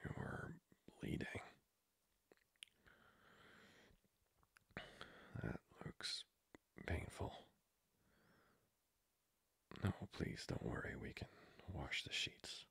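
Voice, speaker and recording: Man playing a very deep, whispering vampire lord. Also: animated, fairly bright tone, no echo, heavily acted. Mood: hesitant, tempted. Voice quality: breathy, whispery, gravelly. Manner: formal, slow, seductively polite. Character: flirty, courtly male vampire lord, hungering for blood. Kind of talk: intimate ASMR roleplay.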